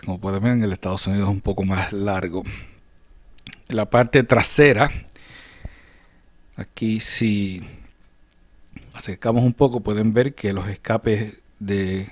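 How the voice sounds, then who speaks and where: A man narrating a casual hands-on demonstration as a channel host, often halting to search for words. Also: lowish voice, darkish tone, no echo, clean studio sound. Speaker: host of a diecast restoration channel. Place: indoors at a hobby table.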